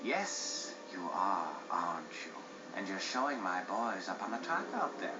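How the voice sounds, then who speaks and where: A man's voice: low-pitched, big pitch swings, measured pace, background noise. A man voicing a smug, mock-polite underworld racer with theatrical menace in a video game cutscene. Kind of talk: animated game cutscene villain acting. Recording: dull game audio with music behind.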